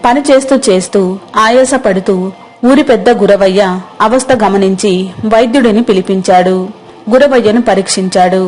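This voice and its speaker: A woman's voice: medium pitch, normal speed, darkish tone, rough recording. A woman reading scripted narration for a moral story as its narrator.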